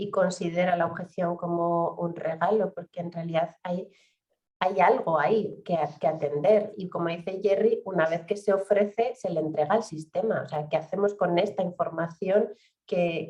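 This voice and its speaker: A woman on a video call explaining an idea in a calm, reflective way, sometimes repeating words. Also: on the low side. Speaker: guest speaker. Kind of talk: conversational explanation. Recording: dull, distant video call audio.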